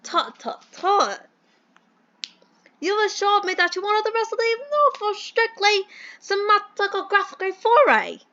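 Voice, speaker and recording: A woman voicing a pompous, cheerful old-fashioned British gentleman boss in a comic audio drama, with theatrical, quaint exclamations. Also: very high-pitched, sing-song, a little slow, dull audio, exaggerated voice. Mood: jolly, pompous.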